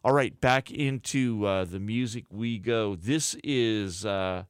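A man working as a college radio DJ, reading a public service notice and introducing songs in a casual, unhurried way with frequent "uh" fillers. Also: lowish voice, smooth, no echo, studio quality. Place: radio studio.

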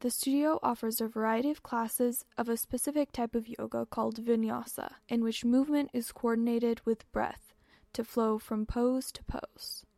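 Young woman reporter, gravelly and dry. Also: a little high, steady tone, normal speed, dark tone, dry recording. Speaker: student news reporter. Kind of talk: scripted news narration.

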